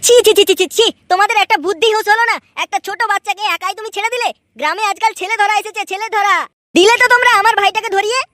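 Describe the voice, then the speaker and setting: Extremely high-pitched cartoon boy hero: hot-headed, mouthy, blustering. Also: fairly even intonation, racing, pushed and bright, no room sound, clean studio sound. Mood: indignant. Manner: scolding, blustering. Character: brash, hot-tempered foul-mouthed young boy hero. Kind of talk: amateur comic cartoon dubbing.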